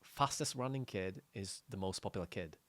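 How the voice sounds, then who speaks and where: A man, chatting casually on a podcast in halting, restarting English. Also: deep voice, fairly bright tone, no room sound. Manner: halting, restarts often, non-native phrasing. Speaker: podcast co-host. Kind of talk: casual chat.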